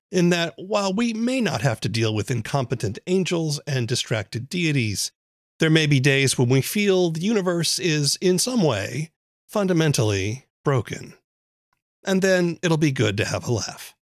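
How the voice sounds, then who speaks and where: A man's voice: a little high, no room sound, studio quality. A man hosting a podcast, reading scripted outros and promos. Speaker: podcast host.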